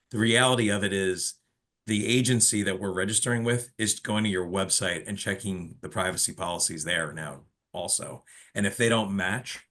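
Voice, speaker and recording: A man's voice: fairly low pitch, a bit bright. A man hosting an informal business webinar, explaining things and putting questions to a colleague. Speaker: webinar host of a telecom company.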